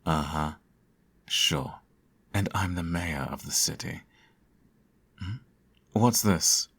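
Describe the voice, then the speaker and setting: A man playing a sardonic, theatrical supervillain who fusses over his nemesis in an ASMR audio roleplay, his voice slightly husky. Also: sits low, slow, a bit bright, heavily acted. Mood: concerned, wry. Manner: theatrical, teasing, sarcastic.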